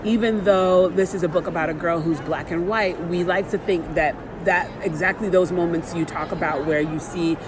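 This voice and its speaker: A woman speaks as an interview guest in a conversational register. She builds her points in measured steps. Clear background noise from the location runs under her voice. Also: fairly low pitch, fairly even intonation. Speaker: author being interviewed. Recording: noisy on-location recording, ambient background sound.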